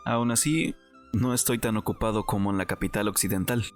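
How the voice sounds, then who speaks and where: A man playing a composed, caring young nobleman with measured, formal speech in a dramatized audiobook. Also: lowish voice, steady tone, faint music behind, lightly acted. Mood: mildly weary.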